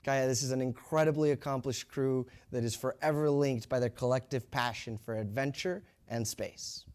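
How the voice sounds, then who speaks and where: A man's voice: mid-range voice, moderate pace, clean tone. Polished male launch broadcast host. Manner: steady, polished presenter delivery. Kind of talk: scripted live broadcast commentary.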